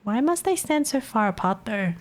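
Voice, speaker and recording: A woman's voice: a little high, sing-song, moderate pace. A young woman with a Filipino accent chatting casually on a live stream, teasing her chat and laughing often. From Philippines.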